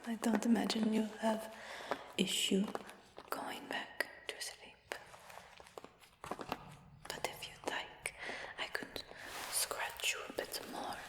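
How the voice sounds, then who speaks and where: A woman playing a smug, doting human dragon hunter in a fantasy ASMR roleplay, whispering in a coaxing, gently boastful way. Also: medium pitch, level tone, slow pace, vocal fry, long pauses. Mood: coaxing, pleased. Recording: echoey whisper with long cave-like reverb.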